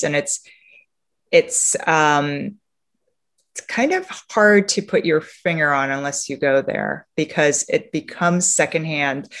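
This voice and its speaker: A woman from Romania answering as an interview guest, telling anecdotes in a reflective, halting way and often rephrasing mid-sentence. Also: low voice. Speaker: interview guest, sustainability leader.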